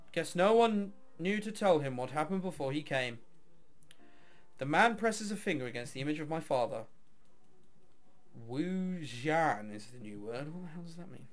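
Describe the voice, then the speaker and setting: Guy doing a visual novel let's play, voice a bit high and really swingy, music underneath. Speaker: video game let's player. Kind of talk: reading a visual novel aloud with casual commentary.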